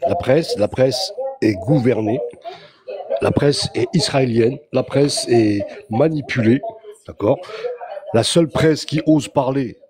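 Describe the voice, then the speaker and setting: Emphatic male caller on a call-in show. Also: medium pitch. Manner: emphatic, repetitive, forceful declarations. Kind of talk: heated call-in opinion.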